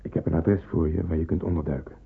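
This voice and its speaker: A knowing, authoritative porter in a radio drama, speaks in terse, deliberate commands. His deep voice is very husky and rough. The old recording sounds thin, like a phone line. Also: steady tone, heavily acted. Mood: grave, insistent. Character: male porter, grave, knowing, authoritative secret helper. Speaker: actor in a radio play. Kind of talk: Dutch radio play acting.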